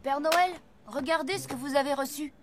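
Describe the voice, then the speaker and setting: Woman playing a little cartoon boy, high voice, sweet earnest orphan kid, music behind. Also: moderate pace, lightly acted. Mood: tender pleading. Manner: earnest, wondering, youthful. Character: earnest, tender-hearted orphan boy, Santa's young apprentice. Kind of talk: animated cartoon dubbing.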